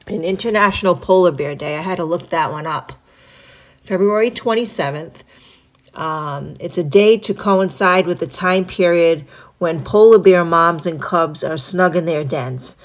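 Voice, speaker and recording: A woman hosting a solo podcast, stuffed up from a cold, reading through a list in a steady, even way with small asides. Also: fairly low pitch, unhurried. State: congested from a cold. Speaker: podcast host.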